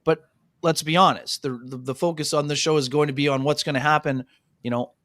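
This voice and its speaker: A man's voice: on the high side, fairly even intonation, brisk, fairly bright tone, dry recording, studio quality. A man co-hosting a hockey radio show, talking casually with many "you know" fillers and calling his co-hosts "fellas". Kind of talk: casual sports talk radio chat. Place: radio studio.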